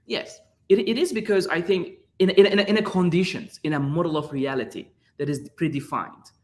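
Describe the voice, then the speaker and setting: Turkish-accented man, searching animated answers. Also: on the high side, normal speed. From Turkey. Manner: searching, repeats words, many "like" fillers. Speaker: guest artist. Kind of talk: interview answers.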